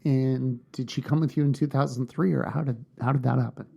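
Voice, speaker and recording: A man's voice: medium pitch, steady tone, a little fast, creaky, warm tone, slightly rough. A man hosting an interview in his office, asking friendly questions. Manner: friendly questioning, short backchannels. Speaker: host. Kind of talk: casual interview.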